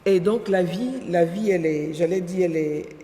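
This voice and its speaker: A woman's voice: lowish voice, mellow, a little hiss or hum. Middle-aged woman from Côte d'Ivoire, reflective guest speaker, long reverb of a big hall. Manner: reflective, pauses and searches for words. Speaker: association president, guest. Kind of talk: event interview, telling her story. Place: large event hall. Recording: echoey hall.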